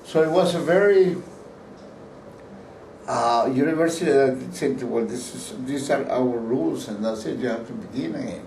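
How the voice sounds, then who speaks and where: Older man, from Peru, Spanish first language, rambling interview answers, warm mellow tone, heard from across the room. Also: medium pitch, normal speed, creaky. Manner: rambling, with restarts and repeated words. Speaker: painter being interviewed. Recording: roomy, distant mic, faint background hiss.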